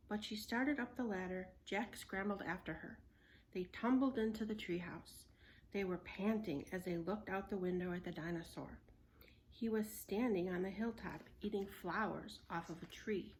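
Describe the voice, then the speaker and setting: A woman's voice: mid-range voice, normal speed, slight background noise. A woman storyteller reading a children's book aloud.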